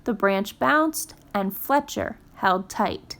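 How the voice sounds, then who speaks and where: A woman reading a children's storybook aloud in a careful, gentle, expressive storytelling style. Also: mid-range voice, slow, creaky. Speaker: storytime reader.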